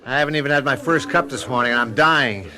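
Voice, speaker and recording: An actor playing a brash, irritable advertising copywriter in a radio drama, blunt and insistent. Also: male voice, mid-range voice, fast, sharp tone. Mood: breezy, then puzzled.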